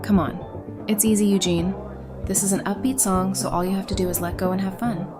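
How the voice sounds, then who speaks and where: A woman's voice: mid-range voice, level tone, fairly quick, natural voice. A woman playing a playful, confident young woman with a wry, teasing manner in an audio drama. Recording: music and party chatter behind. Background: music and crowd chatter.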